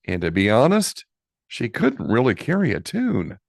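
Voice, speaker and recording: A man reading a children's storybook aloud expressively, with dramatic pauses and drawn-out key words. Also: medium pitch, wildly swooping pitch, vocal fry, no echo, studio quality. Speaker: storybook reader.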